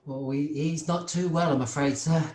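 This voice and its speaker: A woman's voice: deep voice, fairly even intonation, moderate pace, a little mellow, close to an everyday voice. A woman playing a meek, anxious male office clerk in a radio play, hesitant and deferential. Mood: sad, hesitant. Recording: roomy, echoing home recording.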